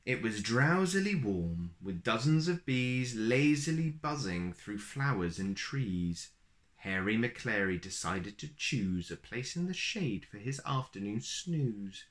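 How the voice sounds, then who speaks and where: Slow-paced man reading to children. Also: lowish voice. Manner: expressive, slow, deliberate phrasing for young children. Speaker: storyteller and host of a children's story channel. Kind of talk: children's picture-book read-aloud. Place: small plain room indoors.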